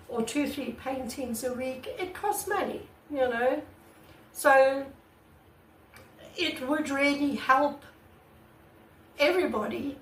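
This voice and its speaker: A woman artist with a croaky voice, talking casually and earnestly to her channel's viewers, recorded on a camera mic without a proper microphone. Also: high voice, recorded from a distance, a little hiss or hum. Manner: earnest and repetitive, many restarts. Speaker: artist vlogger. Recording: echoey room, away from the mic.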